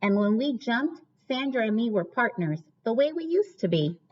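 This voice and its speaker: A woman's voice: a little high, big pitch swings, moderate pace, slight background noise. Woman reading a storybook, slightly dull sound. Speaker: teacher reading aloud to children. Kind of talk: storybook read-aloud with questions to listeners.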